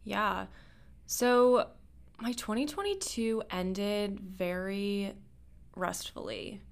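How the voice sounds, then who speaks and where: A woman's voice: medium pitch, extremely slow, clean tone. A young adult woman from Nebraska, co-hosting a podcast in chatty casual talk, often laughing and saying "like.". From Nebraska, USA. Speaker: podcast co-host. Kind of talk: casual chat.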